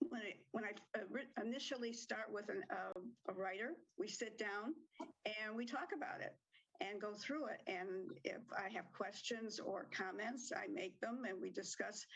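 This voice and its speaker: A woman's voice: medium pitch, gravelly, a bit bright, low-quality recording. Lady director chatting in an interview, lots of ums, little laughs. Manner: hesitant, with fillers and small laughs. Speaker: theatre director. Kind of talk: interview answers.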